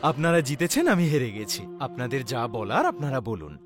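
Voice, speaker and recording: An actor playing a polite, modest doctor in a dubbed story drama. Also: male voice, medium pitch, sing-song, moderate pace, soft background music, lightly acted. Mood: modest, friendly. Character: polite, modest male doctor visiting an old friend.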